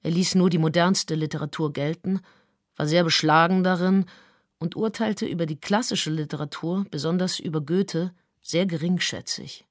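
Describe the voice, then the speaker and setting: A woman's voice: lowish voice, normal speed, no room sound, clean studio sound, natural voice. A woman playing a stern, disapproving mother giving formal, composed testimony in a radio play. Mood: cool disapproval.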